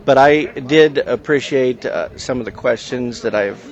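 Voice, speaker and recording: A man conducts a friendly outdoor interview, often murmuring agreement. His voice is mid-pitched for a man and comes through a dull, slightly muffled recording. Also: a little slow. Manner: friendly, agreeing, many "mm-hmm"s. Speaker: interviewer, agricultural journalist. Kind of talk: conversational interview.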